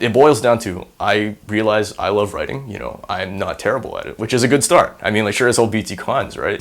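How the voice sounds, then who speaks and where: Casting: man in his twenties, Singaporean-American accent, rambling, self-mocking, fairly low voice. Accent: mix of American and Singaporean. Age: 20s. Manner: rambling, filler-heavy, self-deprecating humor. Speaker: university student. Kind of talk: casual on-camera interview monologue.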